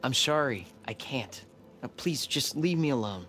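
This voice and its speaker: A man's voice: medium pitch, animated, unhurried, fairly bright tone. A man playing an anxious, regretful follower of a cult in a video game, hesitant and subdued. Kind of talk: naturalistic video game cutscene acting.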